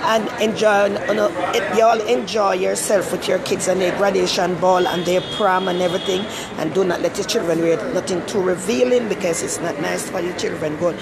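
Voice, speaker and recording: A woman's voice: fairly low pitch, vocal fry. Rambling Jamaican woman host amid traffic. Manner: rambling, chatty, greets viewers by name. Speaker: talk show host. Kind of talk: casual live-stream chat. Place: busy city station area, in and out of doors. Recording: loud street and traffic noise.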